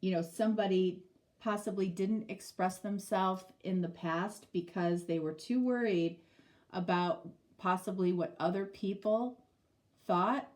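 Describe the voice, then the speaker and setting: A woman's voice: medium pitch, darkish tone. A middle-aged woman with a stuffy, nasal voice from a cold, giving a casual tarot reading and rambling through many fillers. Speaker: tarot reader.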